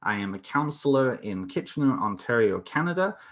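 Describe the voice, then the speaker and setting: A man's voice: medium pitch, very animated, normal speed, fairly bright tone. A young British man from North Wales, a counsellor giving an online presentation, talking with many fillers and restarts. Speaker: counsellor presenting a folklore talk. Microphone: computer mic on a video call.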